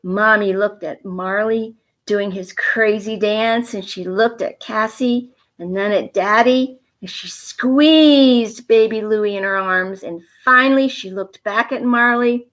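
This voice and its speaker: A woman reading a picture book aloud to children, pausing with teacherly questions and emphatic asides. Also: mid-range voice, fairly bright tone. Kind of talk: read-aloud bedtime story with asides and questions to young viewers.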